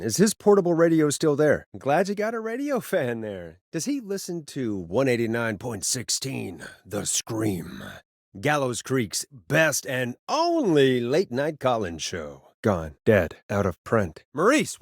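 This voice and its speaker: An actor playing a wry, quick-witted late-night radio host in a video game, with sarcastic, hesitant asides. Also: male voice, medium pitch, no room sound, studio quality. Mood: alarmed, urgent.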